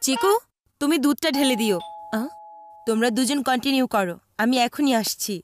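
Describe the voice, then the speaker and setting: A woman's voice: high-pitched, normal speed, soft background music, natural voice. A woman voicing a busy mother in a cartoon, coaxing and scolding her two sons. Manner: scolding, exasperated.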